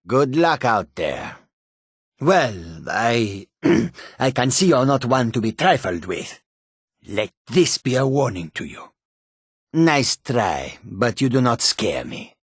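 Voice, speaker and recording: Sly feline wanderer, husky, low-pitched, whimsical and cryptic, fantasy game dialogue. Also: male voice, dry recording, clean studio sound, heavily acted. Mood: menacing, sly. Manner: speaks of himself in third person, deliberate, clipped phrasing. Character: male Khajiit cat-folk wanderer, whimsical, cryptic, sly. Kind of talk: video game character dialogue.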